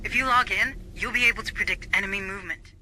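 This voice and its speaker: An actor playing an alert, dryly sardonic military operator guiding a squad in a video game. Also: male voice, high-pitched, fast talker, bright, forceful tone. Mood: focused. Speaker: mission coordinator on comms. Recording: noisy game ambience behind.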